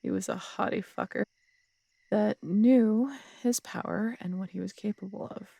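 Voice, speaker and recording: A woman playing a tough, strong-headed city detective in an intimate audio roleplay, husky and gravelly, telling things in a wry, unhurried, conversational way. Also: mid-range voice, fairly even intonation, warm tone, no echo. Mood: reflective. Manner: wry, unhurried storytelling with casual swearing.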